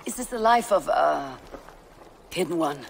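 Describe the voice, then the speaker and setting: Creaky, reflective Viking warrior. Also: male voice, a little high, expressive, a little slow, faint music behind. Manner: hesitant, probing. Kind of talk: video game cutscene acting.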